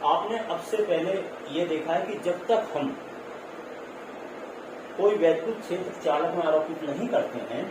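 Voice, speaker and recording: A man's voice: a little high, a little slow, pauses often. Man lecturing in a very echoey room. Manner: explanatory, repetitive, with check-in tags. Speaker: physics teacher. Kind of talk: classroom lecture mixing in English terms. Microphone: distant microphone. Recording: very echoey, distant mic, clear noise.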